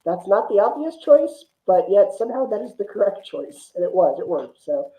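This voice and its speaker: A man's voice: sits high, very animated, moderate pace. Man in an echoey, muffled recording. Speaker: podcast panelist. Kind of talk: casual film discussion. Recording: echoey room, muffled lo-fi sound.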